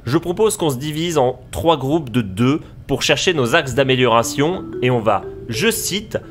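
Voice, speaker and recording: A man's voice: mid-range voice, animated, normal speed, lightly acted. A man playing a bossy, keen start-up team leader in a comic audio drama, with a pompous, schoolmasterly manner. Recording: music playing under the voice.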